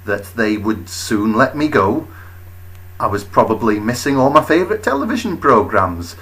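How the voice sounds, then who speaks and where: Male storyteller, bright forward tone, animated swings in pitch, wry understatement, comic bedtime-story narration. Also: poor audio. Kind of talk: dramatic comic first-person story narration.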